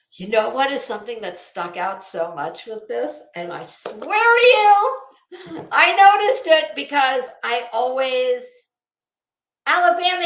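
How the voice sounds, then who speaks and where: Slow, high-voiced woman, sarcastic and echoey. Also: fairly bright tone. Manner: sarcastic, mocking. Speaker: online commentator. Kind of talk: rambling, casual live-stream commentary. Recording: roomy, echoey sound.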